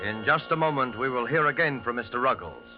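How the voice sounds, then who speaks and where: A man's voice: mid-range voice, expressive, fast. A man announcing a 1940s radio suspense program in a formal, dramatic manner. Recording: muffled old radio, loud music behind.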